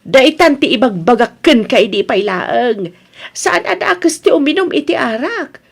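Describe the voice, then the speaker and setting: Woman playing a very high-pitched scolding Ilocano mother. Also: animated, normal speed, vocal fry, slightly rough, exaggerated voice. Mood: reproachful. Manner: lecturing, reproachful. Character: stern, scolding mother of a grown son. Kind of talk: Ilocano radio drama acting.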